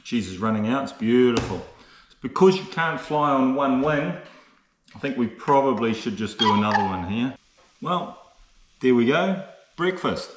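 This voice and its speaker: A man's voice: medium pitch, expressive, moderate pace. Male solo hiking vlogger, mid-range voice, chatty and understated, casual delivery, light noise and clicks behind. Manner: chatty, understated, frequent tag questions. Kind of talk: casual vlog narration to camera.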